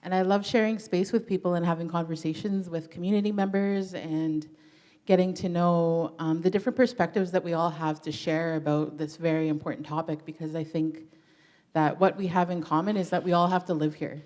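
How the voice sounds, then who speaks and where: A woman from Ontario speaks on a panel in a notably low voice. She talks earnestly and personally, with the odd filler. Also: fairly even intonation, brisk, a little mellow. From Ontario, Canada. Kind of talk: panel introduction.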